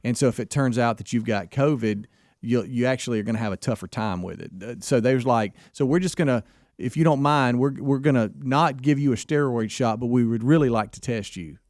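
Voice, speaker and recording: A man's voice: mid-range voice, a little fast, a little mellow, no echo. Rambling male radio co-host, dry booth. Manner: rambling storyteller with many restarts. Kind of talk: casual chat.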